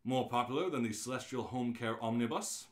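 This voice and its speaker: Man, average pitch, casual show host, rambling and jokey, roomy office echo. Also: a little fast. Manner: rambling, self-interrupting, jokey. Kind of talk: casual live chat and unrehearsed read-aloud. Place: indoors in an office.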